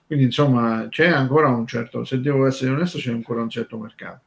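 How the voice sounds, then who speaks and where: A man co-hosting an unscripted radio conversation, talking in a halting, discursive way full of filler tags. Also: mid-range voice, fairly even intonation. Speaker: radio show co-host.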